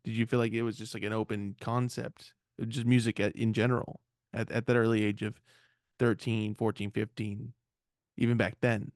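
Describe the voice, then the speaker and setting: A man's voice: fairly low pitch, little pitch movement, moderate pace, a little mellow, no room sound, studio quality. A male podcast host asking casual questions, often restarting and repeating words as he goes.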